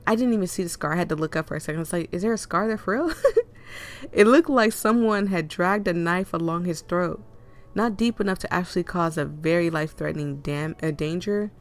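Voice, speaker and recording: A woman with a cold and a hoarse, fading voice, reading a visual novel aloud with chatty asides. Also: lowish voice. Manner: chatty, laughs and coughs often, rambling asides. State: hoarse, losing her voice with a cold. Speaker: game reader and streamer.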